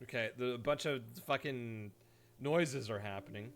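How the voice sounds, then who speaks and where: A man's voice: medium pitch, moderate pace, fairly bright tone, a little hiss or hum. A man giving casual, joking live commentary as a game streamer, irreverent and sweary.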